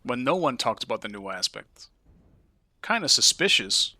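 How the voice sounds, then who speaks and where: A man from Denmark with a Danish accent, chatting casually with his live-stream viewers while playing a game, curious and quick to swear. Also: medium pitch, very animated, bright, forceful tone, dry recording.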